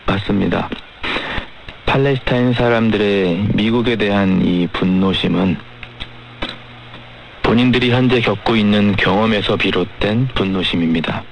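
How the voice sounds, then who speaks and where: Low, gravelly man on shortwave radio. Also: moderate pace. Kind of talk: measured radio discussion. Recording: faintly noisy shortwave radio broadcast.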